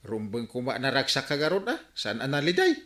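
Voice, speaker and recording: Exasperated, animated village captain, swooping pitch. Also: male voice, a little fast, reverberant. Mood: curious, puzzled. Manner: animated, exclaiming, reproachful. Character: exasperated, scolding male village captain in comedy. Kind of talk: Ilocano radio comedy drama acting.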